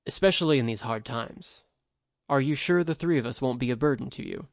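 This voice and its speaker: A man reading a story, voicing a calm, guarded monk who speaks in a measured, formally polite way. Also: medium pitch, no room sound, studio quality, close to an everyday voice.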